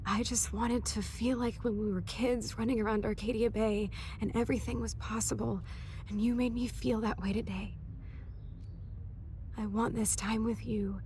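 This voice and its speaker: An actress playing an ailing young American woman in a video game, soft and casual, sentimental and weary as she talks to a friend. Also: medium pitch, flat delivery, moderate pace, long pauses, a little hiss or hum, natural voice. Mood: grave, resigned. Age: young adult. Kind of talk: naturalistic video game cutscene acting.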